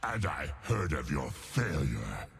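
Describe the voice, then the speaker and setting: A man playing an ancient, savage bear demigod in a video game, a growling baritone declaiming grand threats with contempt. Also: big pitch swings, slow, very noisy, exaggerated voice. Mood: vengeful. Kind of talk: video game character voice lines.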